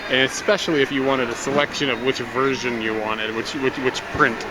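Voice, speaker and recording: A man's voice: medium pitch, steady tone, fairly quick. Male travel vlogger, rambling step-by-step narration, noisy on-location camera audio. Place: public places while travelling.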